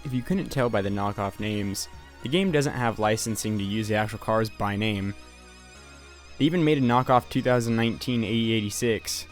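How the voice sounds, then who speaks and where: A man's voice: fairly low pitch, normal speed. A young man doing a casual voice-over game review, sarcastic and profane. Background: faint music.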